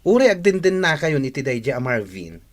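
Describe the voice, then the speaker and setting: An actress playing a frank, scolding young best friend in an Ilocano radio drama, with an Ilocano accent. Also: female voice, low voice, sing-song, moderate pace. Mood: reproachful.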